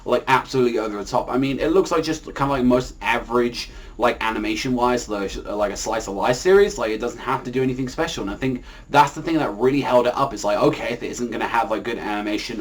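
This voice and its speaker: A man with a medium-pitched voice talks as an anime reviewer in a casual, unscripted style. He rambles and repeats himself, leaning on filler words as he works through his opinions. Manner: rambling, repetitive, full of "like" as a verbal habit.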